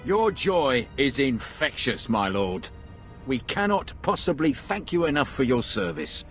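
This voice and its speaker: An actor playing a jubilant, fawning Imperial captain in a video game, gushing in formal military style. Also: male voice, medium pitch, big pitch swings, a little slow, fairly bright tone, faint music behind. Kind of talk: video game cutscene acting.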